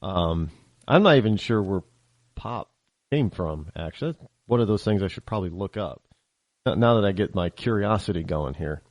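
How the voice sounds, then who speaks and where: A man's voice: low-pitched, expressive, normal speed, no room sound. A man with a slight central upstate New York accent, hosting a call-in radio talk show in a casual, rambling way with many restarts.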